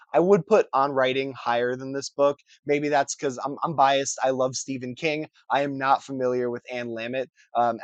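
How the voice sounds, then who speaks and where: A young man, hoarse and scratchy from a cold, chatting casually and upbeat as a book vlogger. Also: dry recording, muffled recording. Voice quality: scratchy, deeper than usual. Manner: chatty, upbeat, many uh fillers and restarts. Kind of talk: casual chat to camera.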